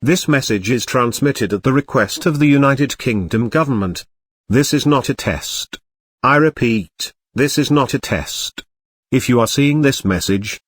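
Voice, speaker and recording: A man voicing a grave emergency alert announcer, reading formal, measured warnings in a scripted broadcast style. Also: medium pitch, dry recording, clean studio sound. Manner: formal, measured, urgent wording.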